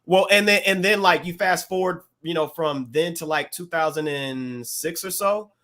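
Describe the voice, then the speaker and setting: A man with a somewhat high voice speaks as a podcast panelist. He recounts things casually and haltingly, adding careful caveats as he goes. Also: sharp tone. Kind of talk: casual gossip recap.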